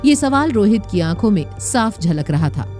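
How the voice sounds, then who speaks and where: A female narrator with a medium-pitched voice tells a story for an audio drama. Her delivery is scripted and dramatic, with music clearly playing behind her. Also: big pitch swings, normal speed. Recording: music bed under narration. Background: dramatic background music.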